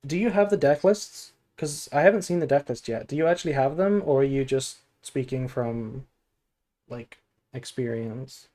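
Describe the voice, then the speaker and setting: Male streamer, mid pitch, dark soft tone, drowsy and low-key, casual commentary. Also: normal speed. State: sleepy. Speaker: game streamer. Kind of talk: casual gameplay stream chat and deck analysis.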